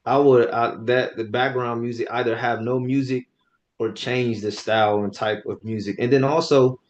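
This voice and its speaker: A man's voice: mid-range voice, darkish tone, highs cut off. A man co-hosting a podcast who gives direct, informal feedback on a speech and repeats words while he thinks. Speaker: podcast co-host giving speech evaluation. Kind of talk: casual evaluative discussion.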